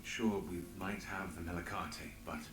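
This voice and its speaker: An actor playing a guarded, world-weary leader in a video game, speaking in a restrained, dry way. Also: male voice, low-pitched, steady tone, normal speed, roomy sound, music in the background, close to an everyday voice. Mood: guarded, somber. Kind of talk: dramatic video game voice acting.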